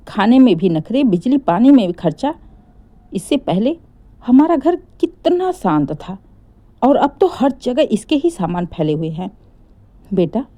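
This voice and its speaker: A woman voicing a sharp-tongued older mother-in-law who complains and taunts in a Hindi family story. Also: high voice, voice pushed up. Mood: irritated. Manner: taunting, complaining, rhetorical questions.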